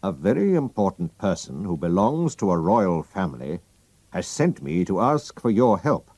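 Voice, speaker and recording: A man's voice: deep voice, sing-song, moderate pace, darkish tone, breathy edge, dry recording, heavily acted. A man voicing a proud, anxious king who speaks formally and commandingly. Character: German-accented royal visitor, proud king, anxious.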